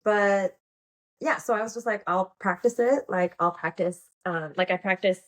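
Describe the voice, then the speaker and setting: A woman's voice: mid-range voice, moderate pace. An American woman chatting casually as an interview guest, informal and giggly, with lots of slang. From United States. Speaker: podcast guest, pole dance instructor and performer.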